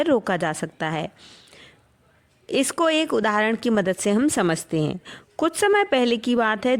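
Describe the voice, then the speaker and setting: An Indian woman presents scripted educational material at a medium pitch. She explains things in a clear, orderly way, as a study-channel narrator would.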